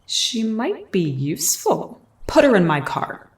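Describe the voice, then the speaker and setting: An actress playing a cool, calculating villainous boss in an audio drama, mocking and commanding. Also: female voice, a little high, big pitch swings, measured pace, vocal fry, darkish tone, breathy edge, full character voice. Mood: cold mockery.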